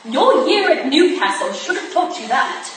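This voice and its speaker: A high-voiced Victorian wife, played by an actress in a period stage play, hurt and indignant. She challenges and reproaches pointedly, heard at a distance in a very echoey room. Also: big pitch swings, moderate pace, a little hiss or hum. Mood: reproachful. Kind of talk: staged reading of a period drama. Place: staged reading in a room, recorded from afar.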